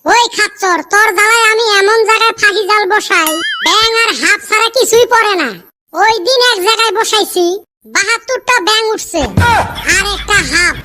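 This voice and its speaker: A man's voice: very high voice, a little fast, a little hiss or hum, full character voice. A man voicing a grumbling village man in a cartoon, speaking a northern Bengal village dialect and cursing often. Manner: cursing, complaining, lively rural banter. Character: squeaky-voiced village man, grumbling and quick to curse. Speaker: cartoon character.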